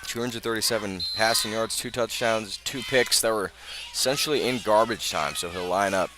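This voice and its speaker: An American man doing live play-by-play radio commentary of a high school football game. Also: moderate pace, background noise. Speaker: play-by-play announcer.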